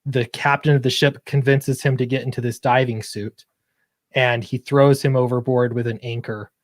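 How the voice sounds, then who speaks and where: A man's voice: medium pitch, little pitch movement, moderate pace, dry recording. A man chatting casually as an interview guest, explaining his creative work in an earnest way. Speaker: guest comic book creator. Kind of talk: casual interview chat about own project.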